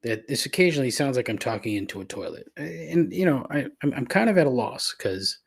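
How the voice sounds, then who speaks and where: A man's voice: mid-range voice, animated, a little fast, creaky, breathy edge. Stuffy nasal guy hosting his podcast, rambly self-deprecating, cheap mic in a big echoey room. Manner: rambling, self-deprecating, many fillers and restarts. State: congested and a little nasal. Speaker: podcast host. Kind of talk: rambling solo podcast monologue. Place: cavernous echoey home room. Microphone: cheap desk microphone.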